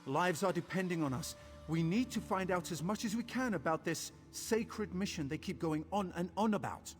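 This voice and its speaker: An actor playing an earnest, nervy young adventuring companion in a fantasy game, talking conversationally. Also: male voice, high voice, expressive, normal speed, faint music behind. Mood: relieved, earnest. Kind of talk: scripted fantasy game acting. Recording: long reverberant hall echo.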